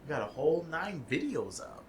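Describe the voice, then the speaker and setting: A young adult man co-hosting a casual podcast, talking in a halting, hesitant way with many fillers and restarts. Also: a little high, big pitch swings, slight background noise. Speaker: podcast co-host and video editor. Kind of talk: casual chat.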